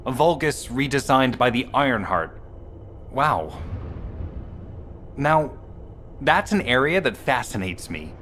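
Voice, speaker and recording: An actor playing a petulant, flippant scientist in a video game, with a sarcastic, theatrical drawl. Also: male voice, medium pitch, big pitch swings, slow pace, pauses often, fairly bright tone, slight background noise. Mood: intrigued, flippant. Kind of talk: video game character dialogue.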